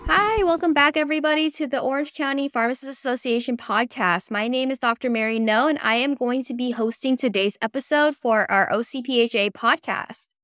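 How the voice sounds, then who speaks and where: A woman's voice: sits high, brisk, few pauses, a bit bright, dry recording, soft background music. The young woman you would hear hosting a professional association's podcast, chatting semi-casually with a colleague in a dry, close-sounding setup. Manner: peppers casual talk with "like". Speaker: podcast host.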